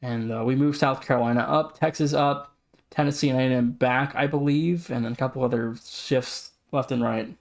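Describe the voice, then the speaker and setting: A man's voice: mid-range voice, fairly even intonation, moderate pace, creaky. A man co-hosting a sports podcast, casually running through standings and team updates. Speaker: podcast co-host.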